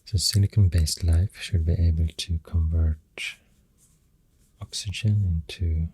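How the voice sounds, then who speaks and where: An ASMR creator with a Swedish accent, speaking very softly and often near a whisper, thinking aloud hesitantly into a close microphone. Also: low voice, steady tone, measured pace, dry recording. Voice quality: very soft, breathy, often dropping to a whisper. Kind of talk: soft-spoken ASMR, rambling science facts.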